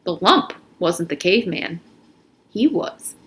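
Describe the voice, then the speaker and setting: A woman reading a children's story aloud in an expressive, animated narrating style. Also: fairly low pitch, slow, a little hiss or hum. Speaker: reader of a children's novel aloud. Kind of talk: read-aloud story narration with character voices.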